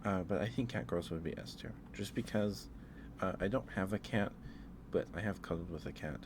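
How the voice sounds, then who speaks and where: Low-pitched man rambling on a noisy stream. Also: normal speed. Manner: hesitant, rambling, often restarting mid-thought; chatting back to live viewers. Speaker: livestream host. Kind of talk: casual ASMR livestream chat.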